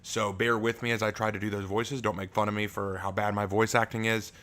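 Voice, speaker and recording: A man with a deep voice, an author chatting with his stream audience and then reading his own fantasy prologue aloud, relaxed, with fillers and restarts. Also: brisk.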